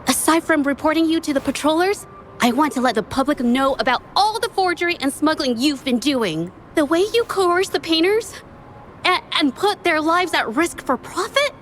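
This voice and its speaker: A woman's voice: sits high, animated, moderate pace, a bit bright, a little hiss or hum. A woman voicing an earnest, resolute young female painter in a video game, hesitant at times.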